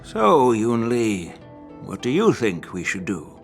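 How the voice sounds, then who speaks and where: An actor playing an old, calm and shrewd general in a video game, speaking in a measured, authoritative way. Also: male voice, medium pitch, creaky, soft background music, exaggerated voice. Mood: thoughtful, shrewd. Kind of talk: English video game voice acting.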